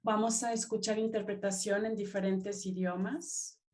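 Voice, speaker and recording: Mellow-voiced woman interpreting a webinar. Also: mid-range voice, steady tone, normal speed. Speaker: simultaneous interpreter. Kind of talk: live interpretation of a webinar welcome. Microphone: computer mic on a video call.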